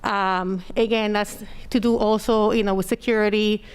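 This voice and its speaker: Smooth-voiced woman presenting a tech report. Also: medium pitch, flat delivery, normal speed. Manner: hesitant, many fillers; naturally loud voice. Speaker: district technology director. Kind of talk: presenting a report at a public meeting. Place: school board meeting room. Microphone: standing microphone, a little far off.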